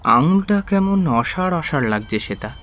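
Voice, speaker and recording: A man's voice: fairly high pitch, very animated, normal speed, a bit bright. An actor playing an uneasy husband in his late twenties in a radio drama. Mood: puzzled, uneasy. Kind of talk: Bengali radio drama acting.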